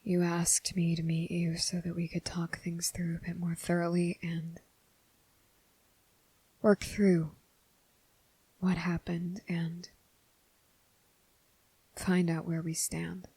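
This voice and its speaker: A woman voicing a nervous, earnest, gentle young man in an ASMR roleplay, speaking haltingly and softly, often slipping into a whisper. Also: fairly low pitch, monotone, full character voice, low-quality recording. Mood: tender, careful. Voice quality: soft, breathy, slipping into whisper. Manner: halting, hesitant, often pausing mid-sentence. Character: nervous, earnest, gentle young male trainee confessing his feelings. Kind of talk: ASMR roleplay addressed to the listener.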